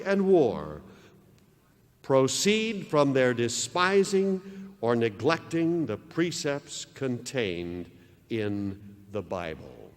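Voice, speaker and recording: This is a male pastor preaching with fervor, his pitch leaping and falling as he lingers on each phrase. His voice carries a long hall reverb, as if from a church sanctuary. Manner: impassioned, dramatic swings in pitch and volume. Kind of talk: televised sermon.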